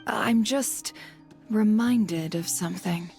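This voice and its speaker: A woman's voice: medium pitch, a little mellow, soft background music. Poised, shrewd woman in a game. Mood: grave, cool. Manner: poised, cool, deliberate storytelling. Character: composed, shrewd woman who relishes a good story. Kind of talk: dramatic video game voice acting.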